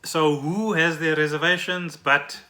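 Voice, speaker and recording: A man's voice: a little high, steady tone, a little slow, bright, forceful tone. A South African man hosting a podcast, explaining trending news in a conversational way and often checking in with the listener. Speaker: podcast host.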